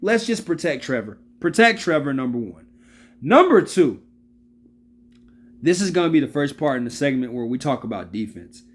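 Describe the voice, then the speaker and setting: A man's voice: medium pitch, moderate pace. A man in his early thirties giving casual, slangy football analysis, repeating his points for emphasis and calling out to viewers. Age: 30s. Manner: animated, repeats phrases for emphasis. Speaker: sports video host.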